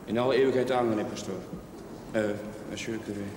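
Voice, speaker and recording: Actor as an eager curate, distant and echoey. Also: male voice, medium pitch, fairly even intonation, fast talker, close to an everyday voice. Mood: sheepish, deferential. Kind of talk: television period drama acting. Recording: echoey, distant, clearly noisy TV soundtrack.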